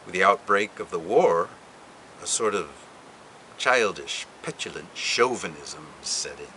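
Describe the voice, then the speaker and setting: A man reads a literary passage aloud in a lowish but bright voice. His delivery is deliberate and expressive, rising and falling widely with dramatic pauses, over a faint hiss behind him. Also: rough recording. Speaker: reader. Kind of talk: literary reading.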